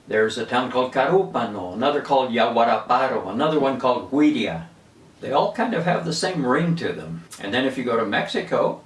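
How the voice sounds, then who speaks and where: A man in his seventies who grew up in Venezuela, unhurriedly reminiscing and explaining words in a casual storytelling way. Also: medium pitch. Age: 70s. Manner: unhurried, reminiscing, chuckles at his own stories. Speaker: linguistics video host. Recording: roomy echo, mic at a distance.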